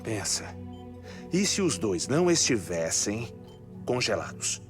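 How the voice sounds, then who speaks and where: A man with a Brazilian accent playing an earnest scientist in a dubbed game cutscene, explaining in a deliberate, lecturing, emphatic way. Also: on the low side, sing-song. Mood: measured, explanatory. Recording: loud music behind voice. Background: cinematic game music.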